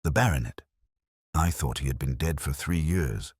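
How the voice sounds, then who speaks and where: A man voicing a brisk, gruff male police inspector who asks blunt questions in a mystery story. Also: a bass voice, no echo, clean studio sound, exaggerated voice. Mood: brisk, puzzled.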